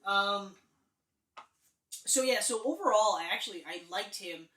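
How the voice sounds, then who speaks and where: A man's voice: high-pitched, a little slow, bright, forceful tone. A man co-hosting a podcast, chatting casually about movies in a rambling way, full of fillers and restarts. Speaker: podcast co-host. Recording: roomy echo, mic distant.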